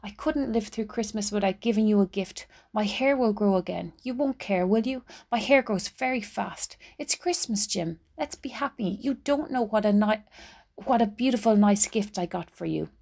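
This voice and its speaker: A woman voicing a young wife who pleads eagerly with her husband, her voice rising high. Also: moderate pace, gravelly, darkish tone. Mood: pleading.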